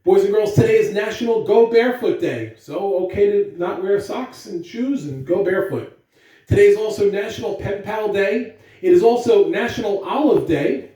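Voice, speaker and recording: A man's voice: sits high, warm tone. A man giving morning announcements as a school administrator, speaking to young children in an encouraging, emphatic way. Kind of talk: upbeat school announcements and read-aloud to children. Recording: very echoey room, distant mic.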